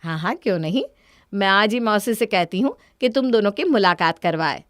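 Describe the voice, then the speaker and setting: An anxious ageing mother from a cartoon drama, acted by a woman. She coaxes and pleads with gentle persistence, at a middle pitch in a dry recording. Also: expressive, normal speed, no room sound, lightly acted. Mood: worried, coaxing. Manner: coaxing, pleading.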